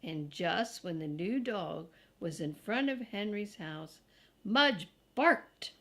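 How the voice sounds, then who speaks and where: Slow-reading older woman with a creaky voice. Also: medium pitch, lively intonation, a bit bright. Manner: slow, deliberate, grandmotherly read-aloud for young children. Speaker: storybook reader. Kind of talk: reading a children's book aloud with casual asides.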